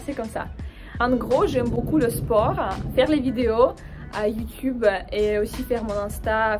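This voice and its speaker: Woman, young adult, high-ish voice, hesitant casual chat, outdoors, clear background music. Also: a little mellow. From Ukraine. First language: Russian. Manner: hesitant, many fillers and restarts, friendly. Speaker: French teacher and video creator. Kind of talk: casual personal chat to camera.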